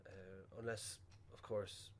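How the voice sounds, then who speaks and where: Actor as a deep-voiced, awkward Irish funeral director. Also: male voice, flat delivery, takes it slowly, slight background noise. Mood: awkward, tactful. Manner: hesitant, stammering, politely soothing. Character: awkward, placating, salesmanlike male funeral director. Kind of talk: naturalistic radio play acting.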